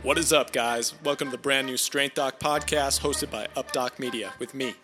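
A man's voice: medium pitch, fairly even intonation, normal speed, sharp tone, soft background music. A man hosting a podcast in a punchy, informal way, moving between promotional intros and casual chat. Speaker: podcast host.

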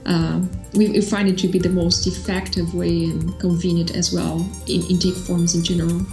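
A woman's voice: fairly low pitch, little pitch movement, normal speed, mellow. A woman with a Brazilian accent talks casually and a little hesitantly, with fillers. Her voice sounds roomy and echoey, with light music behind her. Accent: Brazilian Portuguese. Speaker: interviewee, customer success helper. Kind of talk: casual interview.

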